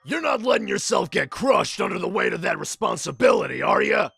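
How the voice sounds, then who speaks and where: Macho delinquent teen boy, man acting, gravelly, lively swings. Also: high voice, brisk, no echo, soft background music, exaggerated voice. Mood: tough-love. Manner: brash and macho, calls people bro. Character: tough teenage male biker delinquent. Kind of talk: fan dub of an anime game.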